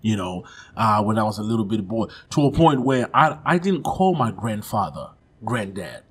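Brief: man from Zimbabwe, thirties, husky voice, easygoing storyteller with frequent laughs. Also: fairly low pitch, very animated, normal speed, a bit bright. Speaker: comedian guest. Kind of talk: casual interview chat.

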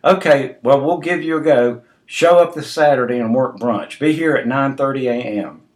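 Man acting a loud, blunt restaurant boss. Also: mid-range voice, normal speed, pitched above the performer's own voice, natural voice. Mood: brisk, bossy. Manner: hammering, blunt, shouts in one tone.

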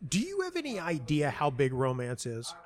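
A man talking casually as an interview guest, telling anecdotes in an animated, emphatic way and often repeating himself for stress. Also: a little high, normal speed. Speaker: interview guest, playwright and audio dramatist.

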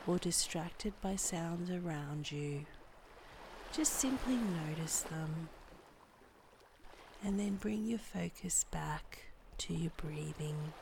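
A woman guiding a meditation in a soft, breathy, soothing voice with measured delivery and long pauses. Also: on the low side, noisy background. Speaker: meditation guide and podcast host. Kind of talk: guided body scan meditation, scripted narration.

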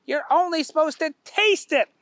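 A man voicing a stern, exasperated boss of a candy factory who scolds in a high, sharp voice. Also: moderate pace, no echo, clean studio sound. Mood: scolding.

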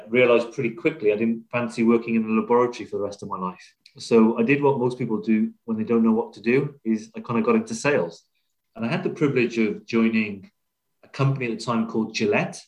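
A middle-aged man from Wales with a British accent, talking conversationally and reflectively as a podcast guest, with frequent pauses. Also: lowish voice, fairly even intonation, rough recording. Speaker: podcast guest, business leader. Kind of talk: conversational interview, telling own career story.

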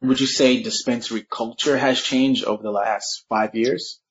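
A man's voice: mid-range voice, steady tone, moderate pace. A man interviewing a guest, asking short, easygoing questions. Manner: brief, easygoing prompts. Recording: dull lo-fi sound.

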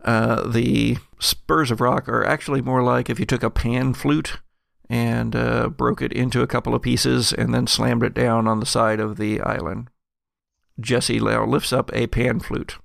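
A man narrates a tabletop roleplaying game with heavy vocal fry. He speaks slowly and deliberately, feeling his way through descriptions, and the sound is close and dry. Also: mid-range voice, level tone, a bit bright, no room sound. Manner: halting, deliberate scene description. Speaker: game master.

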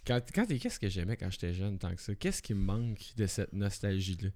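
A man's voice: on the low side, fairly quick. Laid-back Québécois man in his late 20s. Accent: Québécois French. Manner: relaxed, swears freely, laughs often. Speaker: podcast co-host. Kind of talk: casual chat.

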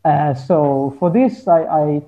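High-voiced man, dull recording. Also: lively intonation, slow pace, warm tone. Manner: hesitant, searching for words in non-native English. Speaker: interview guest, folk musician. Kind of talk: casual conversational interview.

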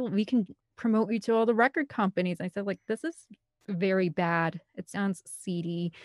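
A woman's voice: on the low side, darkish tone, no echo, clean studio sound. A woman in her thirties with a Midwestern American accent, chatting casually as an interview guest and telling personal stories in a rambling, colloquial way. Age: 30s. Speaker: podcast guest, film composer and director.

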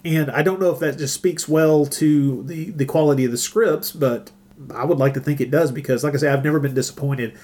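A man's voice: on the high side, a little fast, mellow. A middle-aged man giving casual, enthusiastic, conversational podcast commentary. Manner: enthusiastic, chatty.

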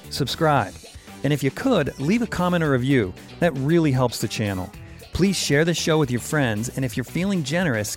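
New York-born male podcast host. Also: medium pitch. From New York, USA. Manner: warm, appreciative, measured with hesitant restarts. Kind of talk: casual interview and show outro.

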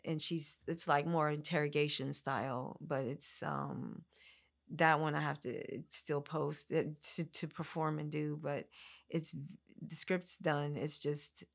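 A woman's voice: low voice, steady tone, slow, gravelly. A woman from the American Midwest, a performer talking conversationally as an interview guest. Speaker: podcast guest, monologue performer.

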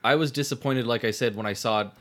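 Man, average pitch, podcast guest, casual chat. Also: fast, fairly bright tone.

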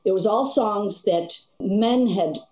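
A woman's voice: mid-range voice, slow, roomy sound, poor audio. An older woman, a stage actress, telling stories in an interview with laughs along the way. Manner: animated storytelling with frequent laughs. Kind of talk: conversational interview.

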